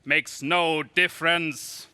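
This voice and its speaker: A man playing a cruel, imperious alien emperor in a 1930s radio serial style, with a menacing, pompous, drawn-out delivery. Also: fairly high pitch, fairly even intonation, bright, forceful tone, smooth, no room sound, clean studio sound, heavily acted. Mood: gloating menace.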